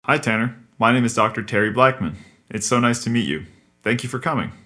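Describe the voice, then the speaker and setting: A man playing a calm, courteous psychotherapist in an audio drama, talking in a measured, formal, explanatory way. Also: mid-range voice, close to an everyday voice. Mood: polite, welcoming. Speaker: actor in a scripted podcast drama. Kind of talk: naturalistic audio drama acting.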